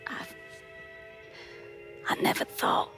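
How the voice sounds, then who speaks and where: A woman's voice: takes it slowly, fairly bright tone, faint music behind. An actress playing a poised, refined and scheming society businesswoman in a video game cutscene. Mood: dazed, wistful.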